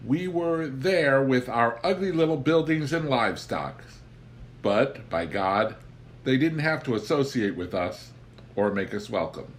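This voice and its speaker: A man reading a humorous memoir aloud as an expressive storyteller, with wry, theatrical, deliberate phrasing. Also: medium pitch, a little hiss or hum. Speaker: audiobook reader.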